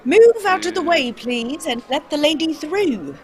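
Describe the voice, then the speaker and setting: A woman playing a confident, scheming gentleman detective in a radio drama, brisk and commanding. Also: sits high, lively intonation. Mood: polite, firm. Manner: brisk, commanding, self-assured. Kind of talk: old-time radio drama script read live.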